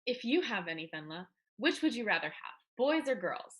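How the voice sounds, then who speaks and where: A woman's voice: a little high, big pitch swings, normal speed, a bit bright. A woman playing a rueful, defiant teenage schoolgirl in a dramatic reading of a period play. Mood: frank, rueful.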